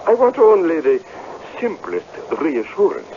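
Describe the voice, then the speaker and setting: A pompous, stern laird of old radio drama, mocking with icy politeness and wide swings of pitch. The sound is dull, like a vintage broadcast. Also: male voice, huge pitch swings, mellow, exaggerated voice. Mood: sardonic. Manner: measured, pompous, sardonically polite. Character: stern, coldly mocking laird, a landlord demanding rent. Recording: dull, faintly noisy vintage radio recording.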